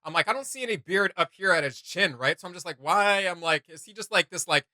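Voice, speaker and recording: A man's voice: sits high, expressive, fast, pushed and bright, no room sound, studio quality. A man hosting a casual reaction chat in a jokey, conversational way. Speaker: reaction show host.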